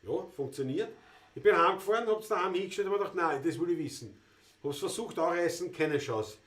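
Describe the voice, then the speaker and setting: A man's voice: high-pitched, animated, a little fast, fairly bright tone. A man with a Viennese accent, a Wienerlied musician hosting a livestream, telling rambling stories with stammered restarts. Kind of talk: casual banter and singing. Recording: roomy sound with guitar.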